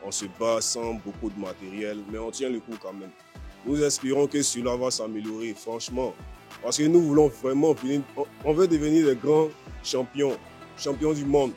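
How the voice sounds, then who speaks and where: A man's voice: medium pitch, steady tone, normal speed, mellow, faint music behind. A man with an Ivorian accent, a fighter talking confidently and boastfully in an informal interview. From Ivory Coast. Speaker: MMA fighter being interviewed.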